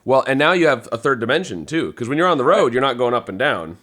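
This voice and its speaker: A man in casual podcast conversation, easygoing and thinking out loud as a panelist. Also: medium pitch, very animated, fast talker.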